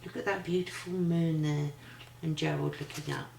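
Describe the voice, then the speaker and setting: A woman's voice: low-pitched, steady tone, normal speed, vocal fry, darkish tone. An older woman reading a children's picture book expressively, like a grandmother, with exclamatory asides to a child. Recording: roomy echo, faint background noise.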